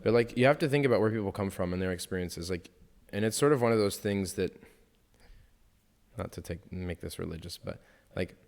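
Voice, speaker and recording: A man's voice: deep voice, a little fast, gravelly, a little mellow, in a large hall. A young man co-hosting a casual podcast, talking earnestly and reflectively, sometimes acting out what other people say. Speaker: podcast co-host.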